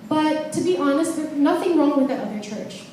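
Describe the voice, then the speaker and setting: Woman in her forties, fairly high voice, chatty and self-deprecating, echoey hall, far from the mic. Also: animated, moderate pace, dark tone, slight background noise. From Philippines. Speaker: guest speaker. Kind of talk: personal testimony. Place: large church hall.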